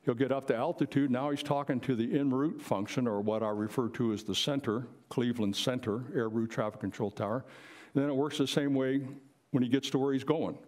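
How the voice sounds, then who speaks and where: A man's voice: medium pitch, fairly even intonation, brisk, a little mellow. An older man speaking plainly and explaining things in an informal presentation to a live audience. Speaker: presenter, retired air traffic controller.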